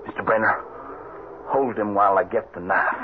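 An actor playing a capable young cowhand with plain, courteous Western talk in an old-time radio drama. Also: male voice, lowish voice, fairly even intonation, normal speed, natural voice. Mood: businesslike. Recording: noisy, narrow old radio broadcast.

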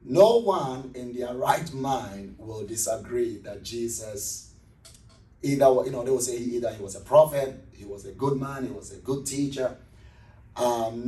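A man's voice: medium pitch, warm tone. A middle-aged man with a Ghanaian accent, talking conversationally as a pastor being interviewed. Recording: roomy echo, distant microphone.

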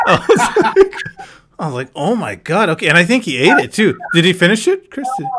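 Relaxed man telling stories on a podcast. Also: high-pitched. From United States. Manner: relaxed storyteller, quoting people in quick asides. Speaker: podcast host. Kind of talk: casual podcast chat.

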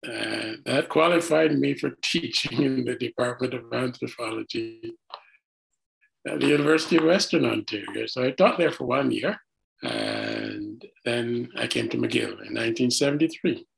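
Retired professor in his seventies from Barbados, gravelly, measured and pausing. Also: medium pitch, sing-song, poor audio. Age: 70s. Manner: measured, pauses with frequent "uh". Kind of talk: casual interview.

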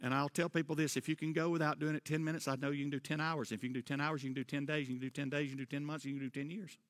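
A middle-aged man preaching a sermon, rising into loud emphatic refrains and dropping back to a quieter tone. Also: on the high side, level tone, rapid-fire. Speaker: pastor. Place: church sanctuary. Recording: long church reverb.

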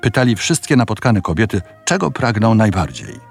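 A man narrating a scripted fairy tale in a measured, dramatic storytelling manner. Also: fairly low pitch, very animated, breathy edge, faint music behind.

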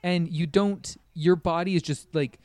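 A man with a slightly higher-than-average voice chats casually as a podcast co-host. His delivery is halting, often circling back to rephrase a thought as he forms it. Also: fairly even intonation, a little mellow, no room sound, studio quality. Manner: halting, repeats and rephrases as he thinks. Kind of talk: casual chat about video games.

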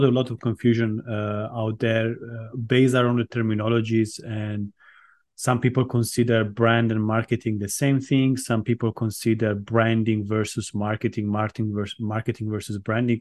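A man's voice: on the low side, steady tone, moderate pace, darkish tone. A man who grew up in Italy, a guest on a podcast interview, talking loosely and conversationally, often restarting phrases as he thinks, with a dry self-deprecating humor. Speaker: podcast guest, branding strategist. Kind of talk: casual interview answers.

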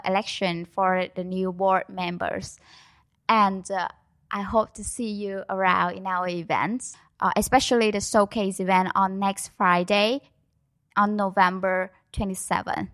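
A young woman whose first language is Vietnamese, answering questions as a guest with plenty of pauses and restarts. Her voice sits in a middle range and sounds close and dry. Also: mid-range voice, a bit bright, no echo. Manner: hesitant, many fillers and restarts. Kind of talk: casual interview.

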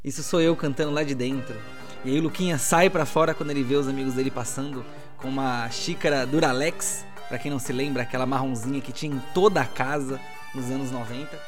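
A man's voice: steady tone, fast talker. Guy narrating his kid character in a tabletop game, playful, music behind. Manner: playful, bursts into high kid voice. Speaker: tabletop player. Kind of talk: first-person roleplay narration.

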